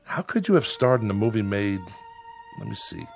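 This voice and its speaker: Radio drama of a courteous reporter who keeps pressing a film star with questions. His pitch moves up and down a lot as he talks. Soft music runs under the scene. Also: animated, moderate pace, gravelly. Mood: probing. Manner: polite, probing questions. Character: probing male journalist interviewing a star.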